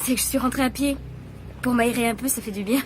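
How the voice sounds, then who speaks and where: A woman's voice: on the high side, fairly even intonation, fast talker, slight background noise, close to an everyday voice. An actress playing a timid, devoted woman who is easily hurt, hesitating and repeating her words in a French radio drama. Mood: casual, guarded.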